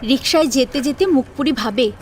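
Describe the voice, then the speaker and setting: A woman's voice: high-pitched, brisk, noisy background. A woman narrating an audio story in a dramatic, scripted storytelling style. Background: faint background music.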